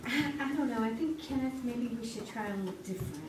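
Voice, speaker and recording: A woman's voice: on the high side, animated, fast talker, close to an everyday voice. A woman playing an eager, hesitant contestant on a staged 1950s quiz show. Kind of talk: live stage radio acting. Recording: roomy theater, far mic, audience noise.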